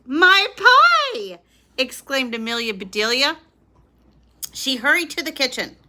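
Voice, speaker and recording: A woman's voice: high voice, normal speed, bright, forceful tone. A woman reading a picture book aloud as a bedtime story for children, expressively, with small reacting asides to listeners.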